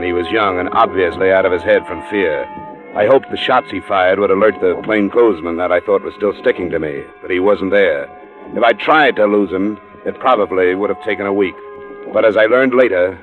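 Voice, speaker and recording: A hard-boiled male investigator, played by a radio actor speaks in a deep voice, clipped and matter-of-fact. He is cool and blunt in the manner of mid-century radio crime drama, heard on a muffled old broadcast. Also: natural voice. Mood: dry, matter-of-fact. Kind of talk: 1940s-50s radio crime drama acting, first-person narration and dialogue. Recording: muffled, band-limited old radio recording.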